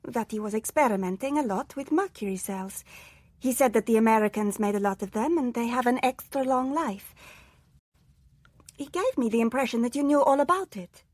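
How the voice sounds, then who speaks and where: An actress playing a shrewd, practical confidante in a radio drama, talking in a natural, inquiring way. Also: female voice, fairly high pitch, normal speed, a little mellow, dry recording, close to an everyday voice. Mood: concerned, uneasy. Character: shrewd, practical woman, a businessman's trusted confidante.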